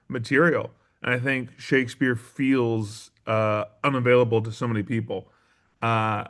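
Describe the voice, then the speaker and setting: A man giving thoughtful interview answers as a guest, building his points in measured, parallel phrases. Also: medium pitch, fairly bright tone. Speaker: interview guest, theater show creator.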